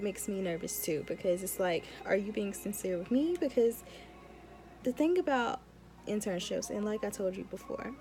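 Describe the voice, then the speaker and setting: Young adult woman, student vlogger, mid-pitched voice, casual chat, informal, emphatic repetition, advice-giving. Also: dark tone. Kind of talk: casual chatty advice vlog.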